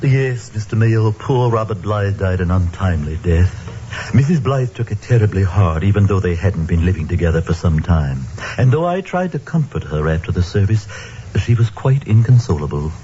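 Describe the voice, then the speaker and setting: A man's voice: deep voice, animated, normal speed. A man playing a compassionate, genteel minister in an old-time radio drama, speaking earnestly and formally. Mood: solemn sympathy. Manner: earnest, formal, gently pious. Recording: dull, noisy old radio recording.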